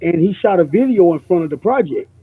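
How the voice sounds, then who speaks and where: High-voiced, husky man, lo-fi. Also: quick pace. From born in New York, grew up in Memphis. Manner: animated, slangy, repeats himself for emphasis. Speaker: guest, music producer. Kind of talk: casual storytelling chat. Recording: dull lo-fi radio-like sound.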